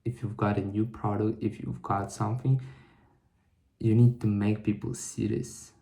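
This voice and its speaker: A young man talking to camera in a reflective vlog, thinking aloud in a halting way with many restarts. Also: on the low side, little pitch movement, dark tone. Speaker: vlogger. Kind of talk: reflective solo vlog monologue.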